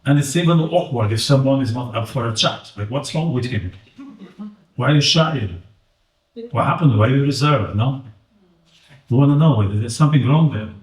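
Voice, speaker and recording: A man's voice: medium pitch, moderate pace, pauses often, a little mellow. Portuguese-accented man in an echoey room. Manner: chatty, jokey, quizzes the audience. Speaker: invited speaker. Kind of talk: interactive public talk. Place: community event room. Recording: roomy echo, mic at a distance.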